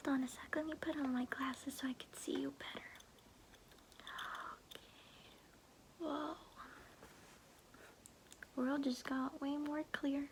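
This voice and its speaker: A woman's voice: high-pitched, little pitch movement, unhurried, long pauses, background noise. A young woman voicing a kindly, slightly clueless old grandmother in a whispered, soothing ASMR roleplay, fussing with folksy endearments, close to the microphone. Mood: chatty, puzzled.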